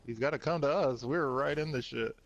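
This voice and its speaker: A man's voice: medium pitch, brisk, dull audio. A man playing an online shooter with his squad, giving casual, slangy callouts with some swearing. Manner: profane, slangy. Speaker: gamer in a squad. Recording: noisy game chat with game sounds.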